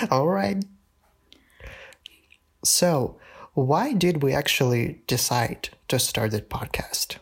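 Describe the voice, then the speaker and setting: A man's voice: a little high, animated, very slow, long pauses, a bit bright, husky. A man in his early twenties co-hosting a casual podcast with a friend, often laughing and complimenting her. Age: 20s. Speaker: podcast co-host. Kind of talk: casual chat.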